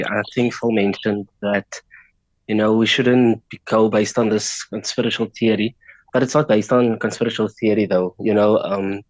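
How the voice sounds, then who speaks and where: A man's voice: lowish voice, no room sound, rough recording. A man with a South African accent whose first language is Afrikaans, speaking as a guest in a radio interview, earnest and grateful. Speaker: petition organiser, guest.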